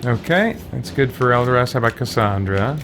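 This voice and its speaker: A man's voice: medium pitch, expressive, brisk. A man hosting a gaming video, giving casual let's play commentary and thinking aloud as he goes. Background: game music.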